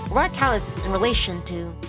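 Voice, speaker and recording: A woman's voice: medium pitch, expressive, quick pace, music in the background, close to an everyday voice. A woman voicing a polite, eager young public relations worker, earnest and a little hesitant, in a visual novel. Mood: helpful, professional.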